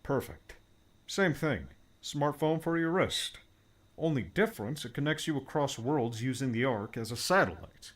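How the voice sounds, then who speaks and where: A man's voice: medium pitch, expressive, moderate pace, voice pushed up, close to an everyday voice. A man voicing an upbeat, reassuring male tech mentor who explains gadgets in a cheerful manner. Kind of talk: audiobook character voice.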